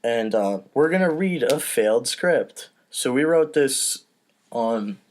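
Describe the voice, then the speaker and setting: A man's voice: medium pitch, expressive, takes it slowly, darkish tone, slight background noise. A man hosting a podcast in casual, rambling, self-deprecating chat, with some swearing, recorded over an online voice call. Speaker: podcast host and narrator. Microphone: home mic on online voice call.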